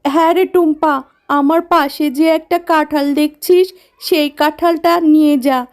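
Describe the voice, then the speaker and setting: A woman's voice: high-pitched, fairly even intonation, normal speed, darkish tone, natural voice. A woman voicing a stingy, scolding tigress mother in a children's cartoon, with a grudging manner. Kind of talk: children's cartoon story acting.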